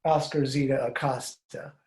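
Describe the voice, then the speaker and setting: An older man hosting a podcast, asking curious, rambling questions that often trail off, speaking into a studio mic on a boom arm while wearing headphones. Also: fairly even intonation, moderate pace, darkish tone, slight background noise. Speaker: podcast host. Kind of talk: casual conversational interview. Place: room at home with blinds, indoors. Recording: roomy echoey sound.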